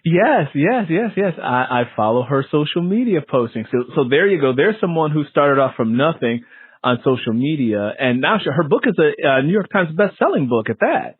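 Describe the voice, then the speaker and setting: A man with a husky voice hosts a conversational interview, often restating what he has just said. The sound is dry and narrow, like a phone line. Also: fairly high pitch, sing-song, moderate pace, dry recording. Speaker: interview host. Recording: lo-fi phone-like radio sound, muffled.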